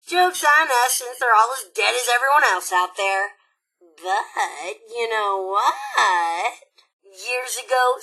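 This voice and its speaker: A sassy female robot raider from a video game, with a high, very animated voice and a raspy edge. She is sly and playful, taunting the listener with chirpy, slangy sarcasm. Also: heavily acted, low-quality recording. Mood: chatty, sardonic. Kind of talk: video game character dialogue.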